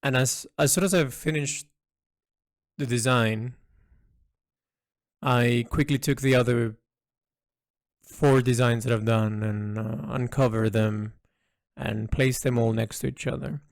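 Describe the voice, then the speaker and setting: A man giving a reflective spoken log as an artist, thinking aloud in halting, thoughtful phrases. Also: mid-range voice, fairly even intonation, creaky, dry recording, clean studio sound. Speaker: artist narrating a design log.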